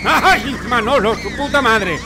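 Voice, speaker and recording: A man voicing an excitable, foul-mouthed policeman with a Spain Spanish accent, melodramatic, in a comic game dub. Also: extremely high pitch, a little slow, crowd noise, full character voice. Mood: shaken. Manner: exclamatory, melodramatic. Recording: loud street and engine noise.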